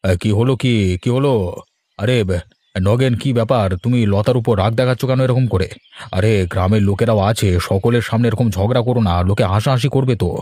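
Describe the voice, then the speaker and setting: A man playing a generous, easygoing village gentleman in a cartoon, speaking in an unhurried, placating way. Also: on the low side, slightly rough, no room sound. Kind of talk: Bengali cartoon dialogue. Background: background music.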